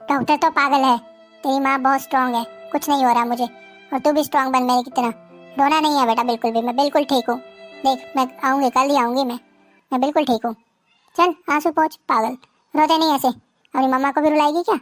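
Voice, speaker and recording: An actress playing a gravely ill young mother, soothing her crying daughter in a soft, reassuring way. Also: sits high, steady tone, fast, close to an everyday voice. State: weak, ill. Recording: faint music behind.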